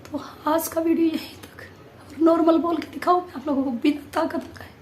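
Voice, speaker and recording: A woman vlogger chatting casually to viewers, her voice hoarse and nearly gone, pushing hard to get the words out. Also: extremely high pitch, fairly even intonation, moderate pace, darkish tone, a little hiss or hum. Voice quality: strained, forced voice.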